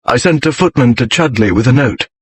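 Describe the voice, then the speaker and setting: A man's voice: medium pitch, expressive, a little fast, husky, dry recording. Man playing proud brooding nobleman, dry sound. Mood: curt, composed. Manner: clipped, formal period speech. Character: brooding, proud nobleman, awkward and dryly witty. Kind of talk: audiobook character voice.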